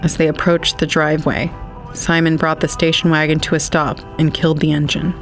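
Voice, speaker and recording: Man, story narration, flat even delivery, faint music underneath. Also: a little high, fairly quick.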